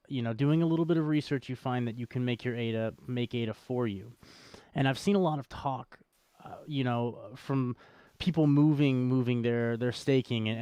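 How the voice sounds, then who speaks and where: Man, talk show co-host, mid-pitched voice, casual, rambling, repetitive for emphasis, dry studio-like sound. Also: mellow, smooth, no room sound. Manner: repeats phrases for emphasis, rambling. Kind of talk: casual unscripted chat about cryptocurrency.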